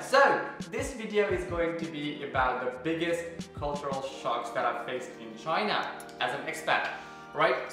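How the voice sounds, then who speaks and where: A young man from Nepal vlogging casually in his apartment, candid and chatty with lots of fillers. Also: on the high side. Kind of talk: casual vlog talk to camera. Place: apartment kitchen. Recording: very echoey room with music.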